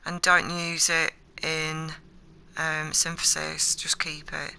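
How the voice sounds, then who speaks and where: A person giving a casual video game walkthrough in a hesitant, low-key, matter-of-fact way. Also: completely flat delivery, slow, vocal fry, pushed and bright, a little hiss or hum. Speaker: game guide narrator. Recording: game music behind the voice. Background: video game music.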